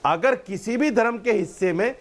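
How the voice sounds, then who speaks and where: High-voiced, emphatic male cleric in debate. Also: expressive, moderate pace. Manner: emphatic, preachy, repeats phrases for stress. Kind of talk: argumentative TV debate speaking.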